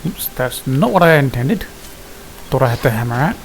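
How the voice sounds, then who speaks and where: A man's voice: mid-range voice, lively intonation, normal speed, a little hiss or hum, low-quality recording. A man giving casual gameplay commentary, muttering to himself in an understated way. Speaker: gaming video host. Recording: game music behind the voice. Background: video game music.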